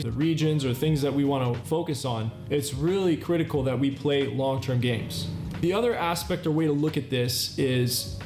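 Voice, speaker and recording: A man's voice: on the high side, darkish tone. A man in his thirties hosting a self-improvement talk, explaining in an earnest way with repeated list-like phrasing. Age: 30s. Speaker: channel host. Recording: echoey room with faint music. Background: faint background music.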